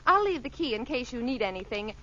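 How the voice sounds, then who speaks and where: Sweet young neighbor lady, old radio comedy. High, animated, effusively polite; muffled broadcast. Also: fast talker. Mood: politely asking. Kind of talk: 1940s radio sitcom acting.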